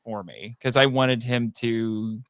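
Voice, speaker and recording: A man talking casually as a podcast guest, telling anecdotes in an animated way and often chuckling as he goes. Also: medium pitch, slow, dry recording. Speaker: podcast guest, voice actor and writer.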